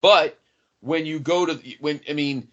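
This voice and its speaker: A man co-hosting a casual wrestling podcast, emphatic and quick to agree, with casual swearing. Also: fairly high pitch, fairly even intonation, fairly bright tone, muffled recording. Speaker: podcast co-host.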